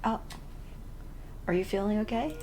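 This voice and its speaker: A woman's voice: medium pitch, normal speed. A woman giving casual, playful let's play commentary, informal and reactive as she follows the game. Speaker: game streamer. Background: game music.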